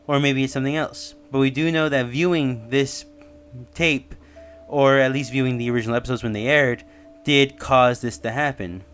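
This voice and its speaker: A man's voice: mid-range voice, fairly bright tone. Man explaining theories over background music. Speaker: host of a theory video channel. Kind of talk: casual explanatory commentary voice-over. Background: music under the voice.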